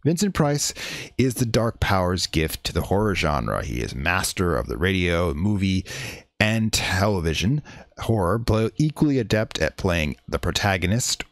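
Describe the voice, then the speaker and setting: A man hosting a horror podcast, introducing in character with theatrical, drawn-out emphasis. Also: low voice, very animated, dry recording. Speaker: podcast host.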